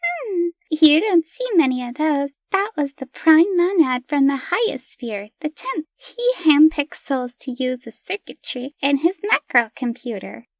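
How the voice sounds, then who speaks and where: Casting: actress as strange all-knowing kid for comic drama, fairly high, dry sound, detached explanations. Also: female voice, sing-song, measured pace, hardly any pauses, dry recording, exaggerated voice. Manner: matter-of-fact, explanatory. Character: eerie, knowing dead child, matter-of-fact. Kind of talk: comic dub character acting.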